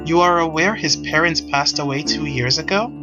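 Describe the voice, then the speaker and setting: A reader voicing a solemn ghost who speaks in a calm, formal, measured manner for a visual novel. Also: medium pitch, highs cut off, over music, natural voice. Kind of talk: visual novel character reading.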